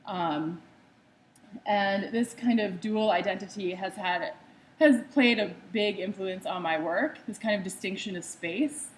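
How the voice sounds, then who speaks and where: A young American woman walks an audience through her artwork slide by slide. Her voice sits at an ordinary middle pitch, and she keeps a loose, chatty delivery full of small fillers. A faint hiss of noise runs under the recording. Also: a little slow, rough recording. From United States. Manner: conversational with frequent ums and uhs. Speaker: artist giving a talk. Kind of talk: slide presentation talk.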